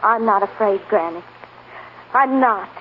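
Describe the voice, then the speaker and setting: An actress playing an earnest, emotional young farm girl with big dreams, halting at times, in 1940s radio style. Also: mid-range voice, natural voice. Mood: earnest, moved. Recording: tinny, noisy 1940s radio recording.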